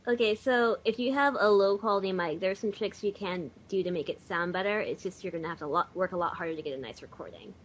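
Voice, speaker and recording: A woman's voice: medium pitch, fast talker, few pauses, a little hiss or hum. A woman chatting casually as a panelist on a podcast, recorded on a dull lo-fi voice chat. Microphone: USB or desktop mic covered with a sock.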